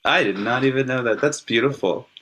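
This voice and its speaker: A man born in California whose first language is Hebrew, speaking as an interview guest in a reflective, measured way. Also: medium pitch, fairly bright tone. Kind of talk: casual interview.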